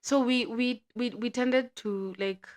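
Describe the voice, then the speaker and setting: A woman hosting a podcast, chatty and explaining things in a relaxed way with lots of fillers. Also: on the high side, slow. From Kenya. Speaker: podcast host. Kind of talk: casual chat.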